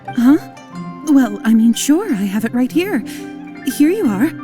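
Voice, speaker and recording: A woman's voice: high voice, sing-song, a little slow, over music. A woman voicing an anxious young merchant woman in an anime game, hesitant and plaintive. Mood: flustered.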